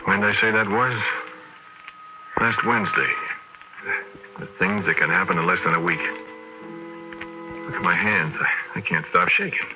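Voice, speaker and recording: A man's voice: sits low, normal speed, pauses often, noisy background, natural voice. An actor playing a shaken, haunted man confiding his eerie story conversationally in 1940s radio drama style. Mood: shaken, brooding. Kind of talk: 1940s radio drama first-person narration. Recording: old radio drama with music behind.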